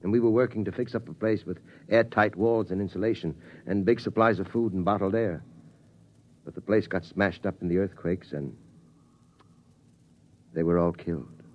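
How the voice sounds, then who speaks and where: A man playing a weary, steady father who tells his family how the world ended, in slow, solemn 1950s radio drama style. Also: lowish voice, natural voice. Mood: somber. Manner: slow, solemn storytelling cadence. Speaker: father telling the family story. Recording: dull 1950s radio broadcast sound.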